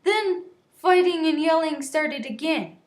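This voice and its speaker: A woman's voice: sits high, lively intonation, a little slow. A woman giving an amateur dramatic reading of a story, stumbling over words and slipping into casual, giggly asides. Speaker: reader of an amateur online story. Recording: roomy, echoey voice recording.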